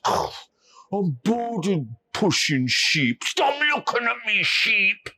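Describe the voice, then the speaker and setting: A man's voice: mid-range voice, big pitch swings, takes it slowly, fairly bright tone, no echo. A man voicing a mischievous young shepherd boy who giggles at his own pranks. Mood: gleeful. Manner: giggling, gleeful.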